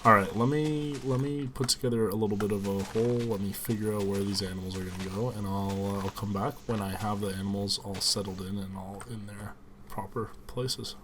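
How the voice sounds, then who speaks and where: Low-voiced man with a slight cold. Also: fairly quick, background noise. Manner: relaxed, chatty, thinks aloud, addresses viewers as guys. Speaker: gaming video host. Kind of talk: casual game commentary.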